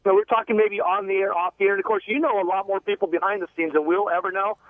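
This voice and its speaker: A man's voice: sits high, fairly even intonation, fast talker, no echo. A man calling in to a radio show by phone, arguing casually and insistently and cutting in. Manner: insistent, interrupting. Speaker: radio call-in caller. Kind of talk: casual argumentative phone call. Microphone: telephone. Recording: tinny narrow phone line.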